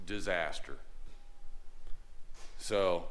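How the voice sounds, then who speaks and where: Man, 40s, low voice, laid-back small-business owner filming a shop tour in a big garage. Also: extremely slow. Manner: relaxed, plainspoken, many fillers and restarts. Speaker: lawn care business owner. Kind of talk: casual walkthrough vlog. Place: large unfinished garage workshop, indoors. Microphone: handheld camera at arm's length.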